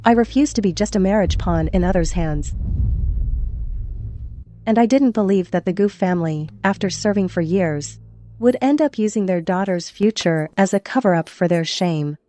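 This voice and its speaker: A woman playing a resolute young noblewoman who testifies formally and deliberately in a costume court drama. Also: medium pitch, warm tone, slight background noise, natural voice. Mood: composed, resolute.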